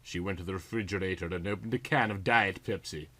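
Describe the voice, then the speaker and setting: A man's voice: sits low, fast talker, sharp tone. A man reading a story aloud in a theatrical, exaggerated, melodramatic comic style. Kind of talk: melodramatic comic audiobook narration.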